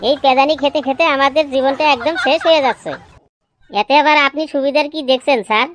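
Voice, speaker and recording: A woman's voice: sits high, fairly even intonation, fast, a little hiss or hum, natural voice. A woman voicing cheeky schoolboys in a comedy cartoon, complaining and pleading in an exaggerated way. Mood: grumbling. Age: child figure. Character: cheeky village schoolboys grumbling and questioning teacher.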